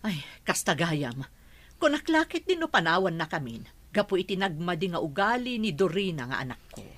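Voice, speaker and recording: A woman's voice: on the low side, very animated, normal speed, fairly bright tone. A woman playing the needy, fretful madam of a wealthy household in a radio drama. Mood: worried. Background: background music.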